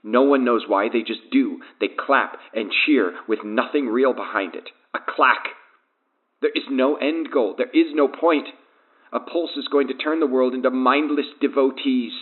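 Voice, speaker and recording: A man's voice: mid-range voice, moderate pace, close to an everyday voice. An actor playing a doomed, guilt-ridden man enslaved by an evil power, confessing fatalistically in an audio horror drama. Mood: bleak. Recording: tinny, band-limited phone line.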